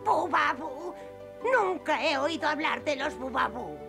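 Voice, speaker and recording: A woman's voice: sits high, animated, measured pace, creaky, music in the background, exaggerated voice. A woman voicing a small, curious, chatty space creature in a Spanish cartoon dub.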